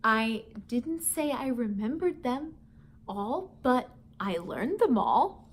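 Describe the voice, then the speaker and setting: Lady teacher reading to kids, pretty high voice, takes her time, playful. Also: lively intonation, takes it slowly. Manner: playful, addresses listeners with questions, chuckles often. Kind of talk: vocabulary lesson and storybook read-aloud to schoolchildren.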